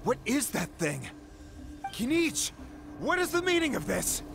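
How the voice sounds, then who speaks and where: Earnest worried tribe leader in a game, high voice, very animated, music behind. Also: male voice, a little slow, creaky, exaggerated voice. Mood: alarmed. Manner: earnest, exclamatory. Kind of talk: video game character acting.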